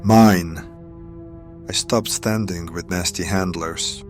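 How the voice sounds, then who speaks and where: Guy doing a slow sly schemer in an audio drama, archaic wording, self-serving, real low voice. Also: steady tone, faint music behind. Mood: insistent. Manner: cool, formal, archaic turns of phrase. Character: sly, self-serving male ally turned against former masters.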